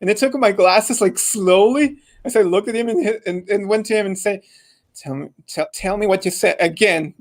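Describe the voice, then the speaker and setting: A man telling a casual story on a podcast, halting and pausing for dramatic effect. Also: sits high. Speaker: podcast co-host.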